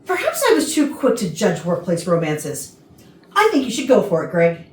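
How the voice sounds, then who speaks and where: A woman's voice: fairly low pitch, huge pitch swings. A woman playing a sly, meddling colleague with emphatic theatrical delivery in a staged comedy reading. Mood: dry, sly. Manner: emphatic, theatrical drawn-out words. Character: meddling, sly female office colleague egging others on. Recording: echoey rehearsal room from far off.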